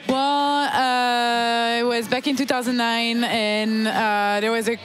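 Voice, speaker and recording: French-accented woman in her 30s, flat delivery, halting speech, interview guest over background music. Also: a little high. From France. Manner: hesitant, many fillers and restarts. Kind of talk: casual interview chat. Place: bar with live DJ in the background. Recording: music playing behind the voice. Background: music from DJs.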